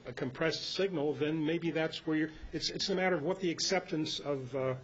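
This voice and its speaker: A man's voice: normal speed, breathy edge. An American man leading an informal club talk, rambling and anecdotal as he presents to the group. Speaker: meeting presenter. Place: club meeting room. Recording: dull lo-fi meeting recording with rumbly noise.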